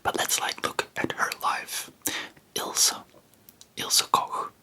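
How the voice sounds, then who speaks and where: A person whispering in an informal, rambling ASMR style, breathy and unvoiced, chatting about history close to the microphone. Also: slow pace, sharp tone. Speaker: host of a history ASMR channel.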